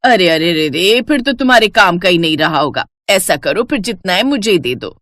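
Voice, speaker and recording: A woman voicing an older widowed neighbor woman who talks slowly and coaxingly. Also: lowish voice, expressive, fairly bright tone, no room sound, clean studio sound.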